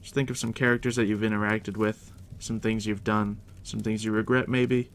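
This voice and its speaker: A man softly near-whispering in an ASMR roleplay as a game master, casual and unhurried with a dry, teasing manner. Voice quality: deep, hushed near-whisper. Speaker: ASMR roleplay host, playing a dungeon master guiding a new player. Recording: steady background noise, crackling fire ambience. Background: fire crackle.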